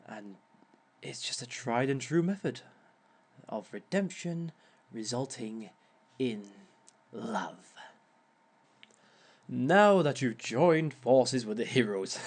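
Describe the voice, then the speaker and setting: A man's voice: mid-range voice, darkish tone. A man playing a smug male villain who gives romance advice in a wry, mock-sympathetic way and chuckles often at his own words. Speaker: villain relationship expert. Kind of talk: audio roleplay monologue.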